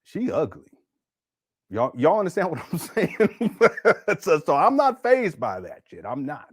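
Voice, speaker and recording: A man's voice: on the high side, huge pitch swings, measured pace, husky. A man hosting a casual podcast, joking and laughing through loose, slangy banter.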